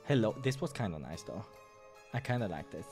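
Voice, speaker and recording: A man's voice: fairly low pitch, lively intonation, a little fast, darkish tone, soft background music. A man hosting a game playthrough, reading visual novel narration and breaking off for casual asides.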